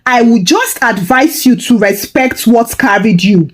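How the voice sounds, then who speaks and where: A solemn old fisherman from a village folktale, acted out by a woman narrator. The delivery is cautioning and elderly in intent, with a highish voice and animated swings. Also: unhurried. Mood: grave warning. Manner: grave, admonishing.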